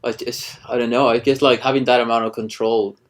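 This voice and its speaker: A man in his thirties from Ecuador, a cinematographer chatting casually in an interview, halting with many restarts and fillers. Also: medium pitch, flat delivery. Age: 30s. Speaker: cinematographer guest.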